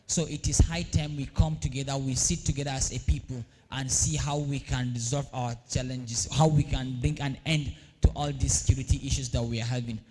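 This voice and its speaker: A young Nigerian man from the north giving a formal vote of thanks at a ceremony, halting, with many fillers and restarts. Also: mid-range voice, fairly even intonation, darkish tone. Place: large hall at a live event. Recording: long reverb in a large hall.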